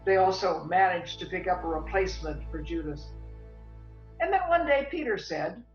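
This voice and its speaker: A woman narrating a scripted dramatic story with theatrical, deliberate phrasing. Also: on the low side, lively intonation, lightly acted. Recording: echoey room, slightly dull audio. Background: music.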